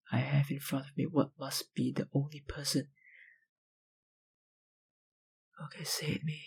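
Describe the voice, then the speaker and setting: An actor playing a possessive, powerful vampire kidnapper in an ASMR roleplay, breathy and husky, with a dominant, condescending manner. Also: male voice, mid-range voice, low-quality recording. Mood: intimate, hungry. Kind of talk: ASMR roleplay, dramatic monologue.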